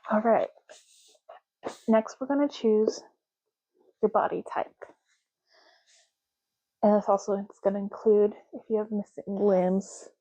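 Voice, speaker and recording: A woman speaks softly in an ASMR roleplay style, with a dark, relaxed tone at a medium pitch. She rambles gently and takes her time, addressing the listener one to one. The recording is a little dull and muffled. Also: vocal fry. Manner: unhurried, rambling, talking intimately to the listener. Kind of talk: soft-spoken ASMR roleplay.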